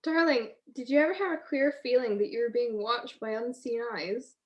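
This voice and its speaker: Woman acting droll, high-voiced detective's wife. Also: moderate pace, lo-fi sound, close to an everyday voice. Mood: uneasy, wry. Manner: droll, teasing. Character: witty, unflappable wife of a detective. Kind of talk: 1940s radio comedy-mystery acting.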